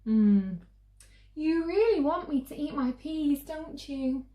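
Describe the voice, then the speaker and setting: Woman playing defiant little girl, storybook, stubborn, slow emphatic delivery, high pitch. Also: animated, mellow, echoey room. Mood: knowing. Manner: slow, deliberate, emphatic refusals.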